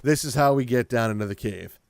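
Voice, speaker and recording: A man's voice: medium pitch, a little fast, creaky, no room sound, clean studio sound. A man hosting a gaming video with casual, rambling commentary, thinking aloud as he plays. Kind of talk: casual let's play commentary.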